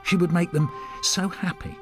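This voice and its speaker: A man reading an extract from a novel aloud in an expressive literary style. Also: sits high, normal speed. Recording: music behind the voice. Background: music.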